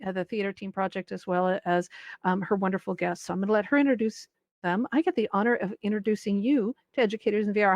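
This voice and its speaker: A woman's voice: fairly high pitch, no echo. A woman hosting an event, introducing a panel to an audience in an enthusiastic, emphatic way. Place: live virtual reality event, voice picked up close on a mic.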